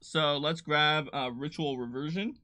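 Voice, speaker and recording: A man's voice: fairly high pitch, a little slow, bright, forceful tone, no echo. A man hosting a livestream in casual chat, talking playfully as he thinks aloud through building a tabletop game character.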